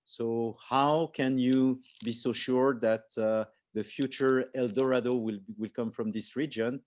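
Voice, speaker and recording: A man hosting an online interview, speaking haltingly with heavy fillers. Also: mid-range voice, steady tone, darkish tone. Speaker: host, co-founder.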